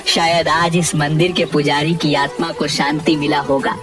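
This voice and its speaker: A woman's voice: low voice, level tone, moderate pace, far from the mic, over music, natural voice. A woman voicing a stern, righteous male village elder in a cartoon moral tale, solemn and admonishing.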